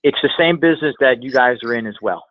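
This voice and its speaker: A man's voice: mid-range voice, fast, dry recording. A man giving a motivational sales coaching talk on a conference call, speaking emphatically and repeating phrases for effect. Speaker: sales trainer, guest speaker. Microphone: telephone. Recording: tinny, band-limited phone conference line.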